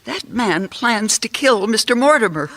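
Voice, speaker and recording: A woman's voice: on the high side, lively intonation, measured pace, fairly bright tone. A woman playing an earnest, insistent secretary to a detective in a radio mystery. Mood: alarmed, insistent. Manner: urgent, insistent, precise.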